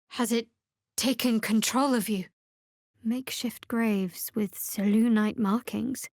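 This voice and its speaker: Woman doing a wry secretive cleric lady from a fantasy game, highish voice, cool and sardonic, very dry sound. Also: no room sound, close to an everyday voice. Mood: curious, observant. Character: guarded, wry female cleric of a dark goddess. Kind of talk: fantasy video game voice acting.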